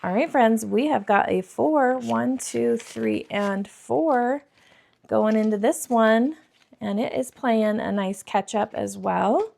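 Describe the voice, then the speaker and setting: A woman in her thirties with a croaky, scratchy throat, chatting to viewers as she counts cash for savings challenges. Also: on the high side, slow pace, darkish tone. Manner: bubbly, addresses viewers as friends, counts money aloud. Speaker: budgeting vlogger.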